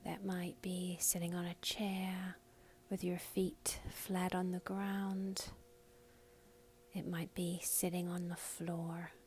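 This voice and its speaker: A woman teaching a guided meditation for beginners, speaking softly and breathily in soothing instructions with pauses. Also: lowish voice, little pitch movement, a little hiss or hum.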